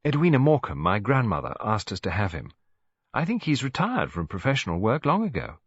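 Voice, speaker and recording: A man acts out a headstrong young English woman from a storybook. She talks quickly and sharply, with a defiant edge and swooping intonation. The recording is dry and slightly muffled. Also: lowish voice, huge pitch swings, slightly rough, no echo, full character voice. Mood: sharp, vague.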